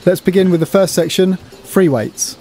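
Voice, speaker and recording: A man's voice: high-pitched, normal speed, mellow. A middle-aged man narrating an instructional exercise video in a plain, practical, explanatory way. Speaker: presenter demonstrating gym exercises. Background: music.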